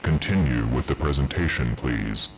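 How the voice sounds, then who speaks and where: A man playing a grave official who cuts into records in an audio drama, terse, flat and deliberate. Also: extremely low pitch, dull audio, slight background noise.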